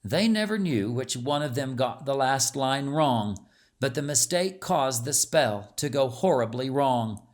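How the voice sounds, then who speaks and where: Male narrator for storybook fiction. Unhurried, medium-pitched, measured and dramatic. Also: lively intonation. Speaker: audiobook narrator.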